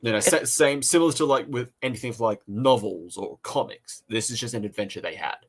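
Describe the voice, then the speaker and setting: A man co-hosting a casual review chat, talking in a halting way and rephrasing as he goes. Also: medium pitch, breathy edge. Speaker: podcast co-host reviewing audio dramas.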